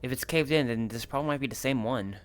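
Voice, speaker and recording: A man's voice: mid-range voice, quick pace. A man speaking hesitantly and casually in improvised role-play.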